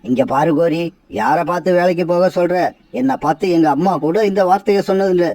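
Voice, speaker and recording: A woman's voice: on the low side, fairly quick, warm tone, recorded from a distance, natural voice. A woman doing a weary henpecked male bird. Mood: defensive.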